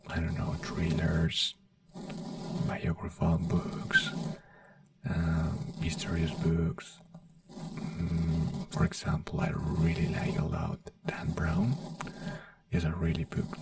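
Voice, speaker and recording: A man in an ASMR doctor roleplay, gently whispering health advice in halting, non-native English. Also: low-pitched, vocal fry, distant mic. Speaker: ASMR creator playing a doctor.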